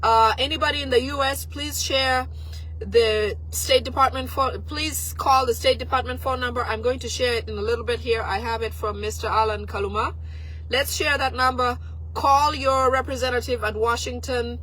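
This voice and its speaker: A woman speaks in a hoarse, raspy voice, worn down by a flu. Her voice sits a touch high for a woman. She gives pointed political commentary to a live-stream audience, with plenty of fillers and restarts. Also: steady tone, normal speed, a bit bright, rough recording. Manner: talks to viewers and reads their comments aloud.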